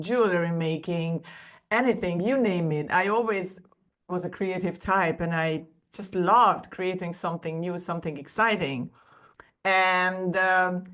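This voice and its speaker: A woman's voice: on the low side, a bit bright. A woman in her forties from Hungary with a Hungarian accent, telling her story as a podcast guest, earnest and often restarting her sentences. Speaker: guest artist. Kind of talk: podcast interview.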